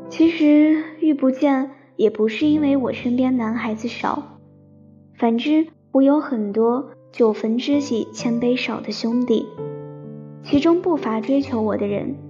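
Shy young heroine of a romance audio drama: high-ish, soft, sentimental, piano underscore. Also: female voice, measured pace. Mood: wistful, tender. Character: shy romantic young woman narrating her love story. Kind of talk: Chinese radio drama, sentimental first-person narration. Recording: slightly dull sound under piano music.

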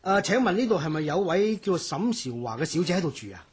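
A man playing a polite, hurried chauffeur in a radio drama, speaking with a Hong Kong Cantonese accent. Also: sits high, sing-song, breathy edge, heavily acted.

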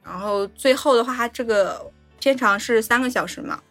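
A woman's voice: a little high, normal speed, a bit bright, faint music behind. A woman co-hosting a casual film discussion, going step by step with repeated linking words. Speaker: podcast co-host.

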